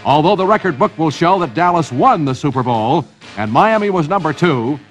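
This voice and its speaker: Animated American male sports documentary narrator. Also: a little high, normal speed. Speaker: highlight film narrator. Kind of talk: scripted dramatic sports narration. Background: music.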